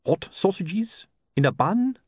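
A man's voice: medium pitch, lively intonation, brisk, no room sound, studio quality, pitched above the performer's own voice, natural voice. A man voicing a gloomy, down-on-his-luck sausage hawker in an audiobook, with colloquial, lamenting salesman's patter. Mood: gloomy hawking. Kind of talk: audiobook character voice.

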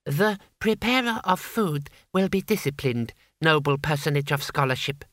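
A man's voice: a little high, animated, moderate pace, fairly bright tone, dry recording, studio quality. A man voicing an imperious boy emperor who speaks in ornate, mock-courteous phrases with polite menace, as in an audiobook story. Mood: sly courtesy.

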